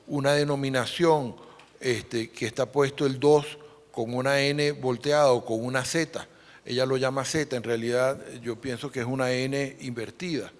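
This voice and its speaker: A man presenting a formal, explanatory conference lecture as interpreter, with hesitant pauses. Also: mid-range voice. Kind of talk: conference lecture on numismatics, relayed for another speaker. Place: large conference hall. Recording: echoey hall with long reverb.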